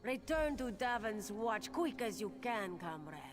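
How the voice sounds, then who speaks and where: A woman's voice: fairly high pitch, very animated, a little slow. Urgent, wary cat-woman agent. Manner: formal third-person speech, clipped commands. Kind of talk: video game character dialogue. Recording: game music behind the voice.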